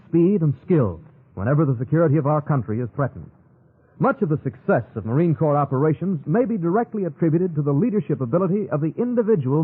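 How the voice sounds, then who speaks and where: Old time radio announcer guy, lively pitch, bit husky, reading script, dull lofi broadcast.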